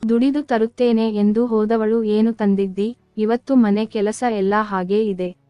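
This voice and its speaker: A woman playing a scolding, distrustful village mother-in-law in an animated moral story, curt and nagging. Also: mid-range voice, unhurried, smooth, no room sound, lo-fi sound, natural voice.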